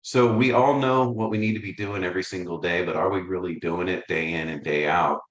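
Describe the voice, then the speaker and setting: Male presenter, low voice, roomy echo, friendly and self-deprecating on a video call. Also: fairly even intonation, a little fast, fairly bright tone. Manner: upbeat, conversational, self-deprecating. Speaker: real estate coaching presenter. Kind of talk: webinar presentation.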